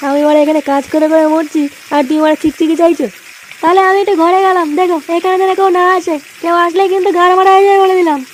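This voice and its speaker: An extremely high, quick-firing cartoon voice plays a crude village slacker. The character is hot-tempered and sweary. Clear noise sits behind the voice. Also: steady tone. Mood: grumbling. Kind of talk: comedy cartoon dialogue.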